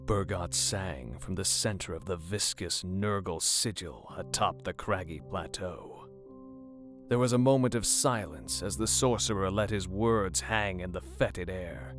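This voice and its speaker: A male narrator reads a grim horror tale with dramatic, scripted delivery. His voice is deep, and music plays softly underneath. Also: measured pace.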